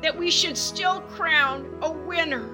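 A woman's voice: sits high, slow. A woman playing a brazen, self-absorbed diva pop goddess in a campy comic radio play, theatrical and blasé. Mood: grandiose. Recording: music under the voice.

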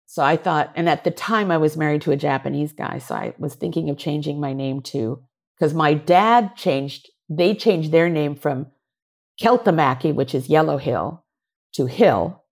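A woman actor being interviewed, telling lively stories, often laughing and briefly acting out voices. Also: low voice, normal speed. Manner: animated, laughing, acts out voices and gestures. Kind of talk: conversational interview storytelling.

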